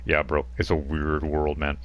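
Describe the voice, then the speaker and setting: A man's voice: rumbling low, fairly even intonation, takes it slowly, a bit bright. A man running a tabletop role-playing game as game master, chatty and rambling, laughing often as he talks.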